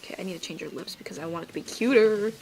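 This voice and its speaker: A woman's voice: on the low side, racing, darkish tone, faint music behind, rough recording. An American woman chatting casually while drawing on a livestream, playful and talkative.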